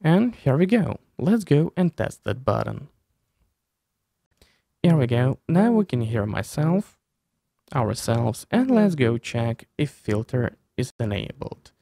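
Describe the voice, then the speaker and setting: Low-voiced man with lively, gravelly delivery. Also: slow pace, no room sound, clean studio sound. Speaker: tutorial host. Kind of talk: step-by-step software tutorial. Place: small room indoors. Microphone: close desk mic with headset.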